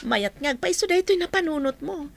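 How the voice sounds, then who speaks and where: A woman's voice: high-pitched, expressive, brisk, gravelly. A woman playing an agreeable, reassuring young working woman in an audio drama, with an Ilocano Filipino accent. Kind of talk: amateur audio drama acting.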